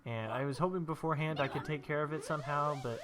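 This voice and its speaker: A man's voice: a little high, fast talker, over music. A young man with a stuffy nose from allergies, rambling casually and telling stories while he plays through a video game. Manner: rambling, chatty storytelling while playing. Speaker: gameplay video host. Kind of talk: casual gameplay commentary.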